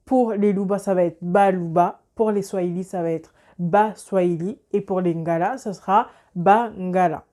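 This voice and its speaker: A woman hosting a lifestyle video, explaining things to viewers in a measured, didactic way. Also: fairly low pitch, mellow. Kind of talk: explanatory presentation to camera.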